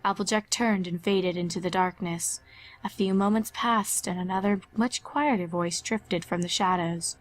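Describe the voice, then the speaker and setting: A woman's voice: lowish voice, normal speed. Woman narrating a horror story over faint music.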